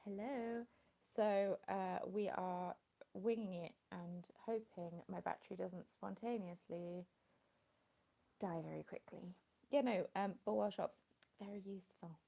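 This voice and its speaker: A woman's voice: mid-range voice, steady tone, measured pace, vocal fry, dark tone. A woman chatting casually on a home live stream, rambling and greeting viewers, into a phone with a small plug-in mic. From England. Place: at home in the evening, dim light.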